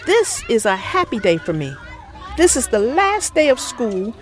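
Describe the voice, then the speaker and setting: Woman doing a kids book read aloud, high voice, super animated and theatrical. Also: measured pace. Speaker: children's storybook narrator.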